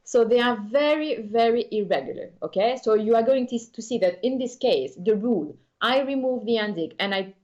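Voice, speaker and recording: A woman's voice: a little high, expressive, normal speed, hardly any pauses, a little mellow, highs cut off. A woman born in Belgium, bilingual in French and Spanish, teaching an online Spanish lesson in a patient, explanatory way and often checking in with "okay?". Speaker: Spanish tutor.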